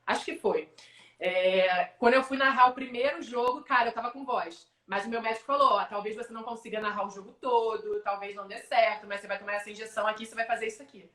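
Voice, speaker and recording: A young adult Brazilian woman, a sports announcer, telling animated stories in a casual live-stream interview. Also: a little high, echoey room. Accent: Brazilian Portuguese. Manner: animated storyteller, quick asides. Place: home, online video call.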